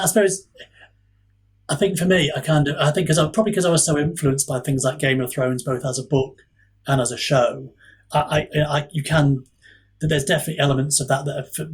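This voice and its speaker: A man's voice: medium pitch, husky. A man talking casually as an author on a podcast, modest and hesitant, rambling a little.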